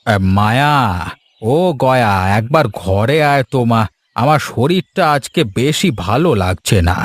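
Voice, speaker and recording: A man's voice: medium pitch, lively intonation, unhurried, dry recording. A man playing a caring, worried village father, earnest and fatherly, in a children's fairy-tale cartoon. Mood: unwell.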